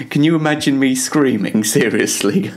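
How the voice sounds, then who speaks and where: A man chatting casually as a web show host, halting and self-deprecating as he talks. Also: medium pitch. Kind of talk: casual self-interview chat.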